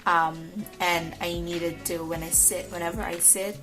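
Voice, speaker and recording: A young woman, an ICU nurse, talking slowly and hesitantly from her couch at home, her voice rough from a dry cough. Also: on the low side, little pitch movement, over music, low-quality recording. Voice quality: rough, hoarse-edged voice. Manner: slow, hesitant, many ums and restarts. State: recovering from illness, dry cough. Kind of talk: casual vlog monologue.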